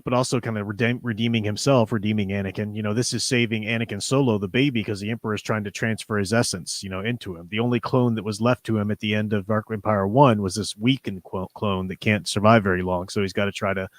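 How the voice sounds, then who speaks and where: A man's voice: on the low side, fairly even intonation, fast talker, few pauses, dry recording, studio quality. A man hosting a livestream, talking casually about comics in a rambling, hedging way. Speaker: livestream host.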